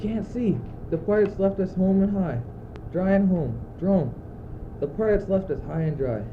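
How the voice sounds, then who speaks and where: A man's voice: high-pitched, normal speed, natural voice. A teenage male student acting in a school radio play as an anxious, exasperated, brooding young courtier, declaiming in clipped phrases. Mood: agitated, bewildered. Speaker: student actor. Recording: muffled phone-like old tape, loud noise.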